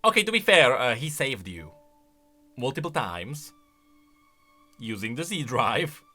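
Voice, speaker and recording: A man's voice: mid-range voice, very animated, a little slow, pushed and bright, soft background music. A man giving casual, exclamatory reaction commentary, thinking aloud as a video creator.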